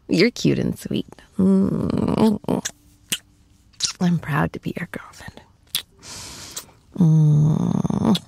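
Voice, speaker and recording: Woman acting a breathy, creaky, doting girlfriend. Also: on the low side, no room sound, heavily acted. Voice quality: breathy, often dropping into soft whispers. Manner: cooing, playful, slow and tender. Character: affectionate, teasing young girlfriend comforting her shy boyfriend. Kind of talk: intimate ASMR girlfriend roleplay.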